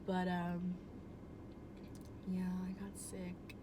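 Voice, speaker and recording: A woman's voice: fairly low pitch, monotone, drawn-out words. A young woman telling a personal story at home in a casual, rambling way, full of "um" and "like" and easy swearing. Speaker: vlogger. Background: air conditioner hum.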